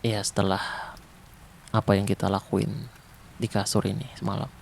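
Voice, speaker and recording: A man voicing a protective ex-special-forces survivor in a zombie apocalypse, talking softly and calmly in an ASMR roleplay. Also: on the low side, creaky, slight background noise. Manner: soft, calm, unhurried, intimate. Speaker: roleplay voice actor.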